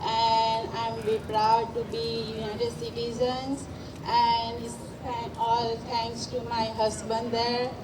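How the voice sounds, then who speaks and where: A woman from India speaks slowly and directly in a higher voice. The recording is distant, with loud noise in the room. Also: fairly even intonation. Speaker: new citizen.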